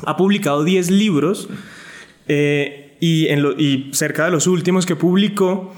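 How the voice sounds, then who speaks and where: A man's voice: a little high, measured pace. A man hosting an interview podcast, asking conversational questions with frequent fillers. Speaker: podcast host.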